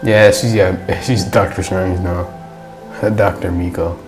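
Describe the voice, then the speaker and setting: A man's voice: low-pitched, steady tone, slow pace. A man giving casual, wry game commentary with jokey asides. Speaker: gamer reacting to a story quest. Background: game music.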